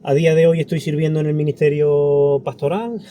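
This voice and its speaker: Very dark-voiced man from Spain. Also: on the high side, level tone, a little fast, slight background noise. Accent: Spanish from Spain. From Andalusia, Spain. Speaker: interview guest, pastor. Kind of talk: conversational interview answers.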